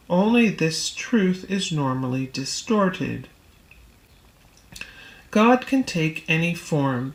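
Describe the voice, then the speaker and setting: Slow-reading woman in an echoey room. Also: low voice. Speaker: reader. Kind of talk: audiobook-style reading of a spiritual text.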